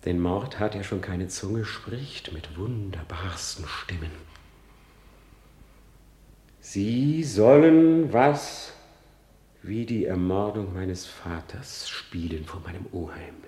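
An actor playing a tormented, self-loathing prince in a classical radio drama, declaiming in a way that swings between whispers and outcries. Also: male voice, low-pitched, animated, takes it slowly, dark tone, slight background noise. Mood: brooding, scheming. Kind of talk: classical stage-style Shakespeare radio drama monologue. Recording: roomy, echoing radio drama studio.

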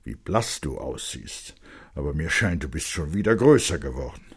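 A man's voice: extremely low pitch, big pitch swings, moderate pace, husky, heavily acted. A man voicing a stern, hot-tempered father who talks in a hard, scolding manner in a story reading. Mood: relenting, stern. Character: tall stern angry father, gruff and authoritative.

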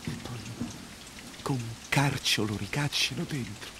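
An actor playing an excitable, humble nineteenth-century coachman from Rome, telling his story in a theatrical dialect monologue with a Roman accent. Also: medium pitch, unhurried, background noise, close to an everyday voice. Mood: breathless, anxious. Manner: earthy, emotional, colloquial storytelling.

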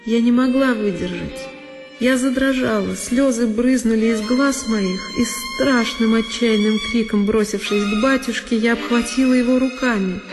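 Female narrator, higher register, intense theatrical delivery of classic prose, violin music behind. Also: normal speed. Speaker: actress narrating a one-woman audio play. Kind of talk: dramatic scripted literary narration. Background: music with solo violin.

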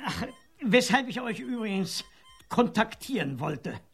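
A performer voicing a fussy, pompous ground-control operator speaking careful High German over the radio. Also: sing-song, a little slow, creaky, slightly rough, faint music behind, heavily acted. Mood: officious. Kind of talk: radio play acting.

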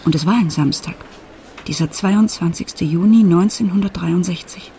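An actress playing a grown daughter who retells her family's past in measured, matter-of-fact radio play narration. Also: on the low side, dark tone, a little hiss or hum, natural voice. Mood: measured, reflective.

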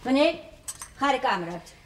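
Domineering mother, high voice, indignant, lecturing, period TV drama, faint noise. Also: very animated, normal speed. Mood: reproachful. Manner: stern, haughty, lecturing. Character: domineering, indignant small-town schoolmaster's wife and mother. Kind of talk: television drama acting.

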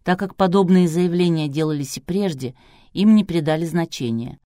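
A resolute man giving grave news, read by a woman in an audiobook. He is quietly confident, measured and firm, and the recording is close and dry. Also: lowish voice, no room sound, close to an everyday voice.

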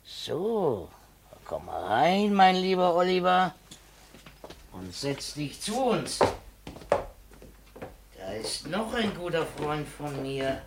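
Sly old gang boss, oily and murmuring, very animated pitch, faint hiss of old radio drama. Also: male voice, creaky, exaggerated voice. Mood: oily welcome. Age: older. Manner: wheedling, murmuring, repeats phrases slowly. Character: sly, greedy old criminal ringleader of child thieves. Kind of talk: 1950s German radio drama acting. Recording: noisy 1950s radio recording.

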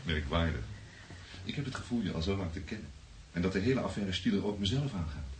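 An actor playing a genial, philosophizing, encouraging friend in a radio drama. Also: male voice, low voice, big pitch swings, quick pace, darkish tone, slight background noise. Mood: pensive.

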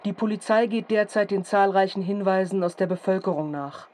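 An actress playing a formal radio news announcer in a period drama, reading a bulletin in a measured, factual manner. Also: female voice, medium pitch, a little mellow, lightly acted. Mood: formal, detached.

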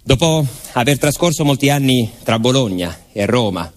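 A man from southern Italy narrating a personal theatre monologue in deliberate short phrases with emphatic repetition. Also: medium pitch, lively intonation. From Salento, southern Italy. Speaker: stage narrator and actor.

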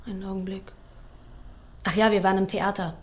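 An actress playing a cool, frank young heiress in a radio drama, speaking clearly and bluntly with disdain. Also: female voice, mid-range voice, little pitch movement, fairly quick, close to an everyday voice.

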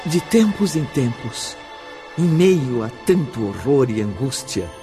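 A man narrating a scripted drama as storyteller, with emphatic, theatrical pauses. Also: mid-range voice, very animated, music in the background.

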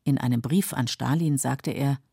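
A woman narrating a scripted literary documentary in a measured, explanatory way, with a husky, creaky voice. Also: rumbling low, mellow, dry recording, studio quality. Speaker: narrator of literary radio feature.

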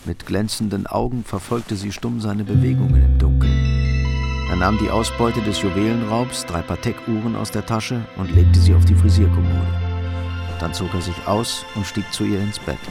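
A man's voice: fairly low pitch, moderate pace, creaky. A man narrating a hard-boiled radio crime drama in a sober, matter-of-fact way. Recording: loud guitar music under voice.

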